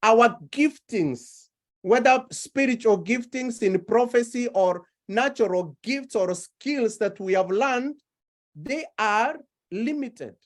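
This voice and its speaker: A woman preaching a sermon, slow and deliberate, building her points through repeated phrases. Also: a little high, lively intonation.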